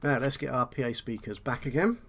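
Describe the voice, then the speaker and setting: A man's voice: mid-range voice, lively intonation, fairly quick, fairly bright tone, slight background noise. Man explaining a video game tutorial. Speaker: tutorial host.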